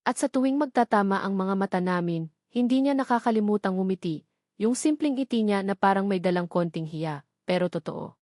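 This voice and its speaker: A woman narrating a first-person romance story in a gentle, reflective manner into a close mic. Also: mid-range voice, a little fast, dry recording.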